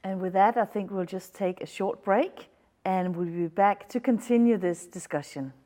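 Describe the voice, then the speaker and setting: A woman's voice: mid-range voice, moderate pace, warm tone. A woman hosting a panel discussion, speaking in a conversational way. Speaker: talk show host.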